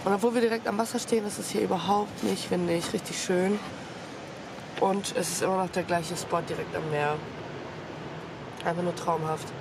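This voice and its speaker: A young woman vlogging on the coast, excited and full of casual slang. She has a slight cold. Her voice is caught outdoors on a handheld camera. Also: lowish voice, normal speed, long pauses, noisy background. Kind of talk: casual travel vlog chat.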